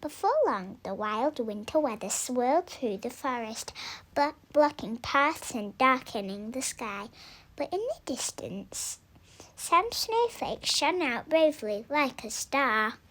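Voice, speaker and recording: A young woman reading a children's story aloud in an expressive, deliberate way, drawing out words for emphasis. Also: high-pitched. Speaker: storybook reader.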